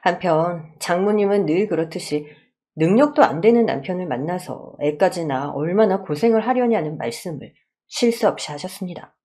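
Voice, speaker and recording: A woman's voice: lowish voice, lively intonation, a little fast, dark tone, slightly rough. A woman narrating a scripted first-person story in the style of a radio drama.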